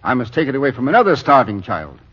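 An actor playing a weary, regretful relief official, earnest and firm, in a 1940s radio drama. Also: male voice, mid-range voice, very animated, fast, slightly rough. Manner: earnest, explanatory. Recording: dull 1940s radio recording.

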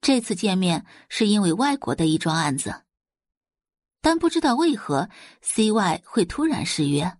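A woman narrating a dramatic audio novel in an expressive storytelling manner. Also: medium pitch, moderate pace, no room sound, studio quality. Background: faint background music.

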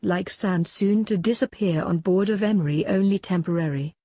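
A woman voicing a gentle, fading female ghost, a sympathetic friend, speaking plainly in a podcast story. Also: on the low side, moderate pace, dry recording. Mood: wistful.

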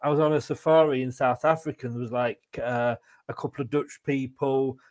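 A man's voice: mid-range voice, fairly even intonation, a little fast, a little mellow. An English man interviewing a former footballer, asking long, rambling, friendly questions. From England. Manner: chatty, rambling questions with light laughs. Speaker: interviewer, host. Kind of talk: conversational interview.

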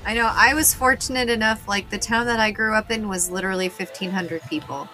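Bright-voiced American woman, blunt and casual. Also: medium pitch, steady tone, brisk, faint music behind, rough recording. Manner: blunt, sweary, joking. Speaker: podcast host. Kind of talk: casual chat.

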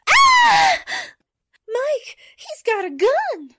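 A woman playing an excitable, wide-eyed sidekick with an exclaiming delivery in a vintage-style radio drama. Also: extremely high pitch, extremely slow, no echo, studio quality, heavily acted. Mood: frightened. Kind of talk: 1940s radio drama acting.

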